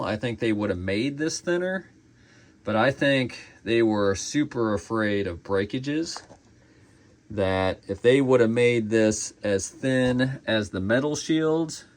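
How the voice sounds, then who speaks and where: A man gives a casual, off-the-cuff product review in a lowish voice with plenty of gravelly fry. He takes his time, talking slowly and conversationally, like a collector walking through a piece. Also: a bit bright.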